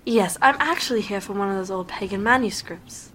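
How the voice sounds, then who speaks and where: A woman's voice: mid-range voice, a little fast, fairly bright tone, a little hiss or hum, lightly acted. An actress playing a stylish, mysterious female tarot reader who speaks in a poised, gracious way. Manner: poised, gracious, calls others dear.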